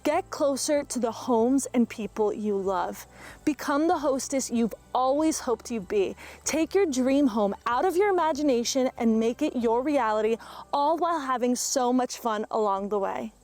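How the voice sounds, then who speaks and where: A woman speaking as the host of a home tour show, friendly and clear in her wording. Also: fairly high pitch, lively intonation, normal speed, darkish tone. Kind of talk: warm conversational interviewing.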